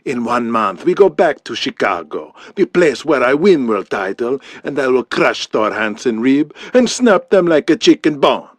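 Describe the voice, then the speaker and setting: A brash heel wrestler bellows boasts and insults in broken English, his pitch leaping up and down as a man acts him out. The audio-drama voice is dry and close, with no room around it. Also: very animated, moderate pace, few pauses, no room sound. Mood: ranting boastful. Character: boastful arrogant villain wrestler, man, adult.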